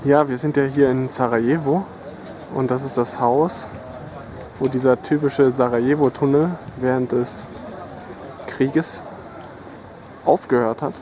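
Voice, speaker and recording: A mid-pitched man narrates a travel vlog in a casual, halting way. The camera sound is noisy, with clear street and car noise around him. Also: fairly even intonation. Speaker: travel vlogger. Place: outdoors by streets. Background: loud outdoor and car noise.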